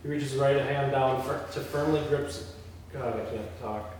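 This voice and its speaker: A man reads stage directions aloud and breaks off into casual discussion. His voice sits at a middle pitch and comes from some distance in a very echoey room. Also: normal speed, a little mellow. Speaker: filmmaker reading stage directions at a table read. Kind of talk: script read-aloud mixed with casual discussion. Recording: echoey room, distant mic, faint noise.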